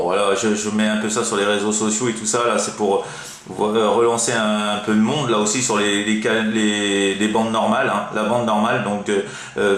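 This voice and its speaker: A man's voice: lowish voice, fairly bright tone. A man chatting casually over CB radio as an amateur operator, rambling and hesitant, often repeating himself. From France. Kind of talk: casual radio contact chat. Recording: roomy, echoing room sound.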